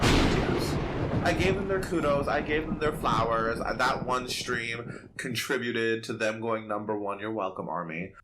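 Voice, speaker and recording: A man with a bright, forceful voice that sits a little low. He chats as a podcast co-host, sassy and theatrical, with slangy, animated storytelling. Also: slight background noise. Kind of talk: casual chat and animated storytelling.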